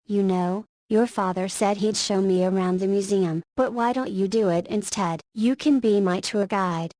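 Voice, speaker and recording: Visual novel mother, 40, played by a woman: friendly, curious, teasing, mid-pitched, dry studio sound. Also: fairly even intonation, moderate pace, mellow, no echo, lightly acted. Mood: playful, coaxing. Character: 40-year-old single mother, friendly, curious, teasing school principal. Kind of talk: visual novel voice acting, scripted dialogue.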